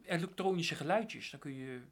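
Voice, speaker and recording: Guy interviewer, pitch jumps around a lot, feels his way to the words. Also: lively intonation, moderate pace, breathy edge. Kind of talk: conversational interview.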